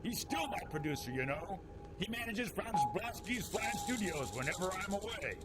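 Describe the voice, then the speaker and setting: A man's voice: high-pitched, very animated, normal speed, over loud music. A man playing a brash, cheerful film director and adventurer who talks in breezy old-time slang. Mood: breezy, boastful. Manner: breezy 1920s American slang. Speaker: voice actor in an audio drama. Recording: loud background noise.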